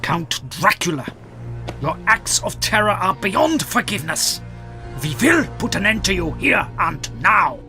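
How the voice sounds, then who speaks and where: Fatherly vampire hunter, male actor, commanding and earnest, very lively inflection, audio drama. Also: high voice, measured pace, faint music behind, heavily acted. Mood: grave resolve.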